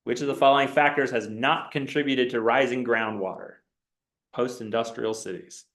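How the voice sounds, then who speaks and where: A man's voice: mid-range voice, steady tone, normal speed, fairly bright tone. Man quizzing a class in an echoey room. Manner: reads multiple-choice options with rising questioning tone. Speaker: instructor. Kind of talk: classroom quiz review game. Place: lecture classroom.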